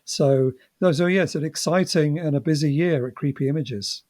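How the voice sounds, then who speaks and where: A man's voice: a little high, normal speed, darkish tone. A man hosting a podcast in a casual, chatty style, effusive and a little self-deprecating. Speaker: podcast host. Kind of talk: casual chat.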